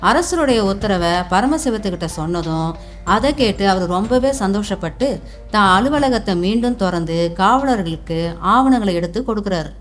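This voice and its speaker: A woman narrator tells a scripted tale in a mid-pitched voice. Music plays clearly behind her as she speaks. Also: animated, normal speed.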